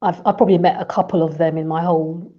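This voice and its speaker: A woman's voice: on the low side, steady tone, quick pace, muffled recording. A woman from the UK calling into a talk show, hesitant, often stumbling and restarting. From United Kingdom. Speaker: caller. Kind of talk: casual debate on a call-in show.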